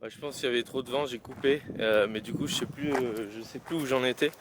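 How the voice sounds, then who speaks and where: A man's voice: medium pitch, fairly even intonation, fast. A young man talking casually to camera while hiking outdoors, rambling with frequent hesitations and restarts. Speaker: vlogger. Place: outdoors on mountain trails in wind. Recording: loud wind noise on the mic.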